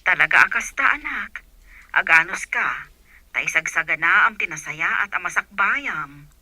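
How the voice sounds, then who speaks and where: Radio-play village mum, caring and encouraging, piercingly bright tone, close dry sound. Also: female voice, lowish voice, animated, a little slow, no room sound, heavily acted. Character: middle-aged rural mother, gentle and encouraging. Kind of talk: audio drama acting.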